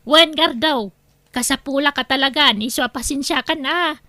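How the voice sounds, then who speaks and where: A woman's voice: high-pitched, moderate pace, bright, forceful tone, no room sound, clean studio sound. A woman with an Ilocano accent voicing a proud, demanding female moneylender in an audio drama. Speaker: voice actor.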